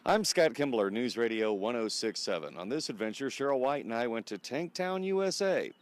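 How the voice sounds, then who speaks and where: A man's voice: medium pitch, big pitch swings, fairly quick, a little hiss or hum. A man working as a radio news reporter, delivering reports and putting questions to people in the field.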